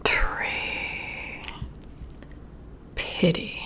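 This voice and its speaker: A woman whispering breathily up close to the mic in an ASMR role-play, giving soothing, gentle and deliberate instructions. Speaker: ASMR creator role-playing a boss giving a personality test. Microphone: close-up ear-to-ear microphone.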